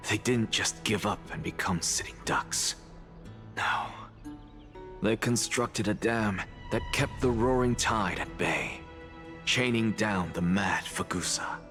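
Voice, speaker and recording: An actor playing an arrogant, theatrical professor obsessed with truth, lecturing grandly and deliberately in a video game. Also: male voice, lowish voice, a bit bright, faint music behind. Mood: grandiose. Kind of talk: dramatic video game voice acting.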